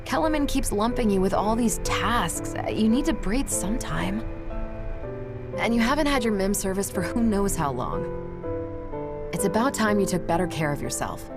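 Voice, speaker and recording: An actress playing a brash, loyal ace test pilot in a video game, speaking in a casual, confident, plain-spoken way. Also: female voice, medium pitch, big pitch swings, moderate pace, loud music behind the voice. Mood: concerned. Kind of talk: dramatic video game cutscene acting.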